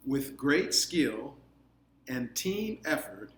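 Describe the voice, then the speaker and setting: Male storyteller for children's read-aloud: medium pitch, unhurried explanatory delivery, echoey room. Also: sing-song. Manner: deliberate, explanatory, drawn-out phrasing. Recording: echoey room, faint background noise.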